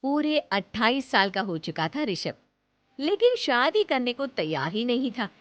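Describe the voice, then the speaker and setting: A woman's voice: on the high side, big pitch swings, measured pace. A woman narrating a scripted moral story as a storyteller. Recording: dull lo-fi audio, slight noise.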